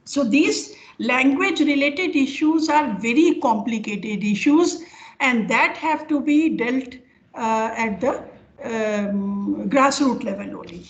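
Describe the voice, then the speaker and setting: An older woman with an Indian accent giving an informal academic lecture over a video call, speaking haltingly as she explains with examples. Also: fairly high pitch. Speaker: professor giving an online lecture. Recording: dull, lo-fi online call audio.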